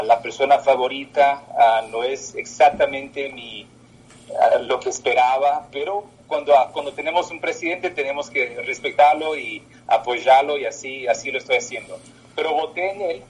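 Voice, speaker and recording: A man in his twenties whose first language is Portuguese, answering interview questions in halting speech with many pauses. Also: medium pitch, husky, poor audio. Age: 20s. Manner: halting, with many filler sounds. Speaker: political candidate. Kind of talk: interview by phone.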